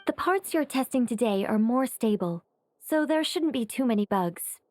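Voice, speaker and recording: Businesslike young woman, game role, actress: fairly high voice, self-assured explainer, soft music behind. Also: lively intonation, moderate pace, dry recording, studio quality, close to an everyday voice. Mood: composed. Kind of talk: anime game voice acting.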